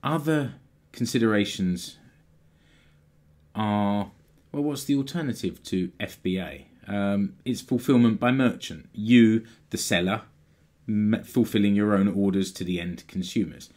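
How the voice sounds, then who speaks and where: A slightly hoarse man, somewhat croaky from a sore throat, hosting a solo business podcast and explaining things in a plain, steady way. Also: on the low side, a little slow. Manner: explanatory, plain-spoken, occasional restarts. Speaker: podcast host.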